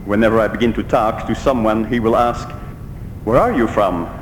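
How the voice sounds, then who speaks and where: A middle-aged man with a Belgian Dutch accent, a native Dutch speaker, giving a measured talk to a congregation. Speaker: church speaker. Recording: roomy hall with faint rumble.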